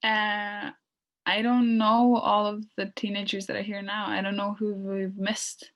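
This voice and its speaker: A young woman, moderating a casual video call chat in a quick, friendly and apologetic way. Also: mid-range voice, level tone, a bit bright. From Norway. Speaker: discussion moderator.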